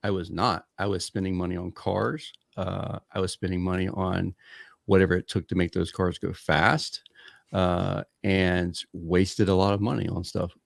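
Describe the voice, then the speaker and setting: Low gravelly-voiced male podcast host. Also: flat delivery, moderate pace, no room sound, studio quality. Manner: loose, joking, lots of fillers. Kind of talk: casual interview chat.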